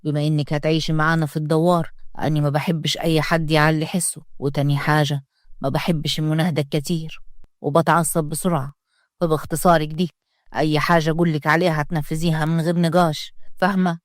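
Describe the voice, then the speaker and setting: A woman's voice: low-pitched, flat delivery, fast, no echo, clean studio sound, pitched below the performer's own voice, close to an everyday voice. A woman voicing a strict, domineering father, giving curt orders in a storybook reading. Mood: stern. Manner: commanding, curt, lays down rules. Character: stern domineering Upper Egyptian man, strict father.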